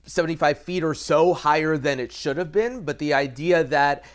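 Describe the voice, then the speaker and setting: An American man speaking as an expert guest in an interview, explaining haltingly with repeated words and careful qualifications. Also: a little high. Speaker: expert guest, aviation reporter. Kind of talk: conversational interview analysis.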